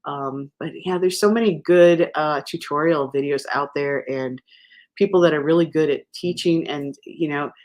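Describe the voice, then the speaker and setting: A woman's voice: low voice, normal speed, darkish tone. A woman hosting a live crochet stream, chatting casually and rambling with many fillers, restarts and easy laughs.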